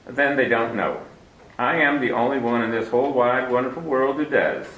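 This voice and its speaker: An actor playing a genial, wordy middle-aged crook who talks formally and flatters, in 1940s radio style. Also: male voice, medium pitch, moderate pace, natural voice. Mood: smooth, scheming. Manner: florid, flattering, overly formal with many sirs. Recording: roomy echo.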